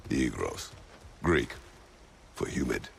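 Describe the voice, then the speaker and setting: Extremely deep, gravelly warrior god. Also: male voice, very animated, slow, big-room sound, a little hiss or hum, full character voice. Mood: gruff, flat. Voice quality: gravelly, rumbling. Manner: terse, stern, formal. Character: gruff, terse warrior god father. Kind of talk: video game cinematic acting.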